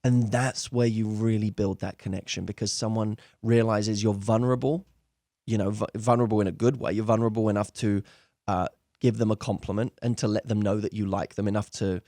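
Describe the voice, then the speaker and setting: A man's voice: lowish voice, fairly even intonation, a little fast, dark tone, dry recording, studio quality. A man working as a dating coach, giving conversational advice straight to viewers in an emphatic way, slipping into acted-out example dialogue. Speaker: dating coach and show host.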